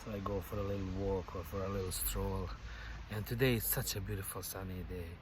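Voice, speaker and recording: Musing low-voiced man. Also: steady tone, brisk. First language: Bosnian. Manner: rambling and musing, with many "you know" fillers and soft laughs. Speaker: vlogger. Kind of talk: casual reflective monologue. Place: outdoors on a bench by a lake. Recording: outdoor noise with passing traffic.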